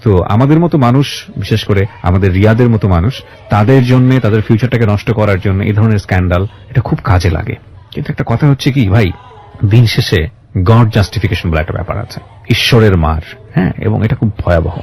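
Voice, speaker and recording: A man's voice: on the low side, brisk, creaky, darkish tone. A man with a Bangladeshi accent hosting a radio interview, speaking in an emphatic, moralizing way and mixing in English words. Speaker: radio show host. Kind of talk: radio interview with reflective monologue to listeners.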